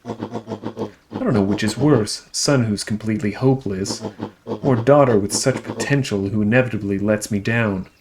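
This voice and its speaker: A man's voice: fairly low pitch, normal speed, vocal fry, noisy background. A man voicing a gloating, mocking villain for a video game, grandiose, mock-jovial and condescending. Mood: cold contempt. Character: gloating, theatrical male villain, smug and mocking. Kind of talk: character voice reading for a video game playthrough.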